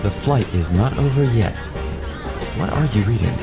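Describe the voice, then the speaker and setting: A man's voice: on the low side, expressive, moderate pace. A man playing a sleazy airline passenger who flirts smugly with the flight attendant. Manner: smug, leering. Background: music.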